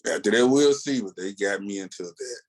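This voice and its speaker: A man with a fairly low voice talks casually as a podcast co-host. He is opinionated and emphatic, uses plenty of slang, and drifts into asides as he reviews. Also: brisk, a little mellow. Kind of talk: casual, opinionated comic book review chat.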